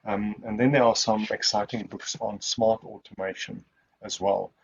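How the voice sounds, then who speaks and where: A man whose first language is Afrikaans answers questions in a webinar, rambling and restarting his sentences. His voice sits fairly low. The audio is somewhat muffled and lo-fi. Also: steady tone, normal speed, gravelly, slight background noise. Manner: rambling, many restarts and fillers. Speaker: guest speaker.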